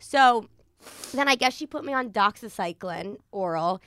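A young American woman telling a personal story in a casual, animated, rambling way, acting out bits of dialogue. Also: mid-range voice, a little slow, no room sound, studio quality. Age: young adult. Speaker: podcast host. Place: podcast studio.

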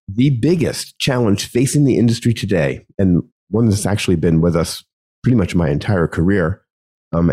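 Low, dark-voiced man with a cold. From United States. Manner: conversational, frequent ums and restarts. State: has a cold, gravelly voice. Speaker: podcast host. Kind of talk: solo podcast monologue.

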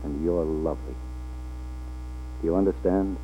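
An actor playing a hard-drinking, flirtatious male film star in a 1940s radio drama, halting and repeating his words. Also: extremely low pitch, warm tone. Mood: tender, halting. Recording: old 1940s radio, tinny with hum.

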